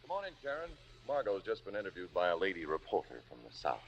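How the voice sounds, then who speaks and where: An actor playing a dry, easygoing playwright husband in a 1950s radio drama. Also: male voice, low voice, huge pitch swings, fast, heavily acted. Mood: wry. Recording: dull, slightly noisy old radio recording.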